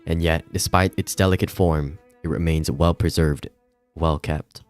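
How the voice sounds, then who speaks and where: A man reading a visual novel aloud as dramatic, measured narration. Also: very deep voice, steady tone, darkish tone, clear, resonant tone, faint music behind.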